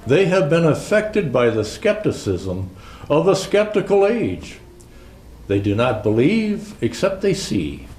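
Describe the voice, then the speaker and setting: A man's voice: a little high, big pitch swings, a little mellow. A man playing Santa Claus as a jolly old storyteller, reading Christmas classics to children in a theatrical, lilting manner. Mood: earnest. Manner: slow, theatrical, lilting recitation. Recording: roomy, echoing indoor sound.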